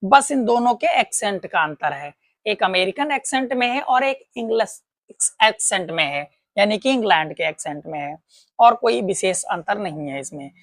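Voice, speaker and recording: A woman's voice: mid-range voice, animated, normal speed, no room sound, studio quality. A woman teaching a patient, step-by-step grammar lesson, asking questions and answering them herself. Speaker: English teacher.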